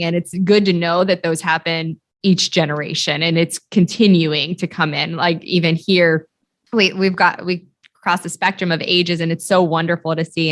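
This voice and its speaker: Low-voiced woman hosting a podcast. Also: a bit bright, dry recording. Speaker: podcast host. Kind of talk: conversational interview.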